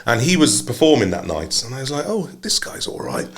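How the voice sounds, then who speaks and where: An older man from Wales, a singer telling his story casually, relaxed with many pauses. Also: medium pitch. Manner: relaxed, rambling, many ums. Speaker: singer, guest.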